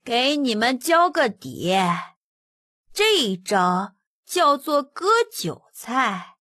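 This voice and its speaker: A woman plays a little boy emperor in an audiobook, babyish and high. He speaks very slowly and knowingly, sly and sure of himself, close and dry over faint music. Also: sing-song, a bit bright, no echo, full character voice. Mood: sly, self-assured. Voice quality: childish, babyish voice.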